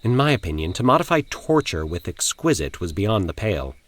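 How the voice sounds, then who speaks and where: A man's voice: sits low, sing-song, fairly quick, slight background noise. A man narrating a scripted first-person horror story in a formal, dramatic manner.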